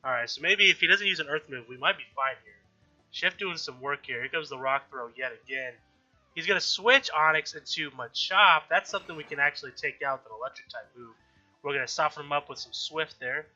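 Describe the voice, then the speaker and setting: A man's voice: fairly high pitch, sharp tone. A man with an allergy-stuffed, slightly nasal voice, casually chatting through a game he is playing as host of a let's-play video. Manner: chatty, frequent self-corrections and throat clearing. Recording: dull lo-fi audio with faint background music.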